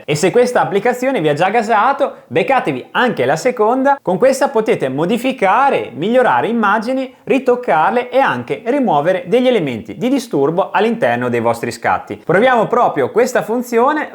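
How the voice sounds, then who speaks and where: A man presenting a product review in an enthusiastic, emphatic way. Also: high-pitched.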